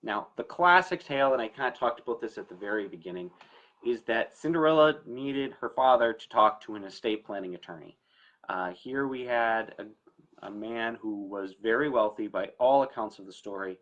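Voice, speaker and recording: A man's voice: on the low side, moderate pace, fairly bright tone, dull audio. A man giving a conversational, explanatory talk as an attorney who hosts a show, speaking informally and with emphasis. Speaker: estate planning attorney hosting a story time talk. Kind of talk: informal educational talk to camera.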